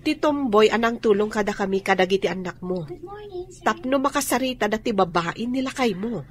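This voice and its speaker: A woman's voice: medium pitch, animated, a little fast, natural voice. An actress playing a gossiping female friend in a radio drama, chatty and insinuating, with an Ilocano accent. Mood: gossipy.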